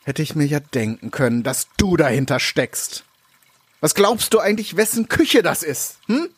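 Domineering male head chef played by a man, scornful, animated pitch, husky, dry studio sound. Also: moderate pace, no room sound. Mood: angry, commanding. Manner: scornful, commanding, sarcastic. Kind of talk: German audio drama acting.

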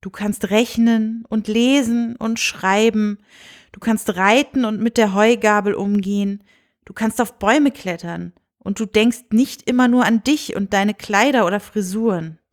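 A woman voicing a kindly, reassuring king and father in a storybook, calm, friendly and unhurried. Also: mid-range voice, natural voice. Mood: thoughtful. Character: kindly, reassuring old-fashioned fairy-tale king and father.

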